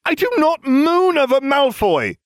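A man's voice: very high voice, big pitch swings, measured pace, no echo, clean studio sound, voice pushed up, heavily acted. A man voicing an indignant, bookish teenage girl in a storybook reading, snappish and exasperated. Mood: snapping.

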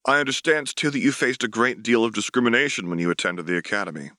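A man's voice: medium pitch, fast, bright, forceful tone, no echo, studio quality, lightly acted. A man voicing a polite, inquisitive and professional female newspaper interviewer in a dramatic audiobook reading. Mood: polite, probing.

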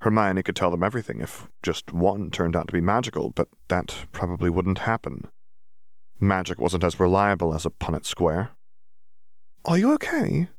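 Low-voiced man narrating fiction. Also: steady tone, moderate pace, no echo, studio quality. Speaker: audiobook narrator.

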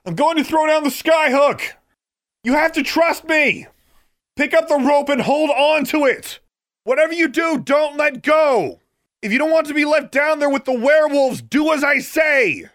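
A man voicing a determined teenage girl witch, shouting commands urgently in an audiobook story. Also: extremely high pitch, moderate pace, pitched above the performer's own voice. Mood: urgent, commanding.

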